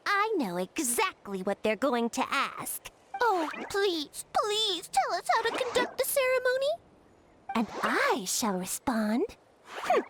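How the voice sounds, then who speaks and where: A woman's voice: extremely high pitch, wildly swooping pitch, slow, background noise, full character voice. A woman voicing a smug, condescending know-it-all mushroom creature in a video game, speaking like a pompous oracle. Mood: smug, plotting. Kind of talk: video game character acting. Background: game music.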